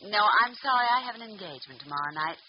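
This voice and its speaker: An actress playing a brisk, capable secretary, in 1940s radio drama. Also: female voice, medium pitch, close to an everyday voice. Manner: brisk, animated on the phone. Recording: dull old radio recording with clear hiss.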